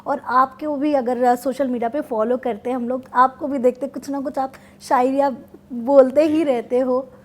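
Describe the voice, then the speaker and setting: A woman's voice: sits high, fairly even intonation, moderate pace, few pauses, a little mellow. A woman interviewer asking questions in a conversational style.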